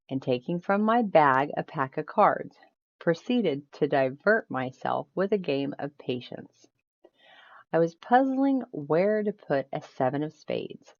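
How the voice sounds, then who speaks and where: A woman's voice: low-pitched, darkish tone. A woman reading a ghost story aloud as scripted storybook narration. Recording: roomy echo, slightly dull sound.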